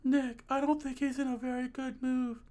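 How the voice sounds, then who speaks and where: A man's voice: very high voice, little pitch movement, normal speed, mellow, pitched above the performer's own voice. A man voicing a naive, earnest young female legal assistant from a video game, in a girlish manner. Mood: anxious, earnest. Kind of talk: video game character read-aloud.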